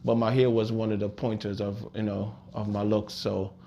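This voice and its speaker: A young man speaking softly and hesitantly in a salon, casually answering questions about his hair. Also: lowish voice, level tone, normal speed, lo-fi sound, a little hiss or hum. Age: young adult. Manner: soft-spoken, hesitant, casual. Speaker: interviewee, former loc model.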